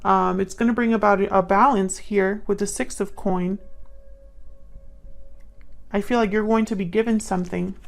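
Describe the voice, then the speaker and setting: Even-toned, gravelly woman reading tarot. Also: medium pitch, soft background music. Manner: musing, halting, thinking aloud while reading cards. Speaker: tarot reader, host. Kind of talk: improvised tarot card reading to viewers.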